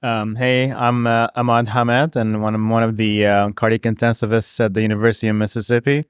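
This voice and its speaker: A man's voice: on the low side, little pitch movement, a little fast, clean tone, dry recording, studio quality. A man, a doctor, giving a casual interview answer.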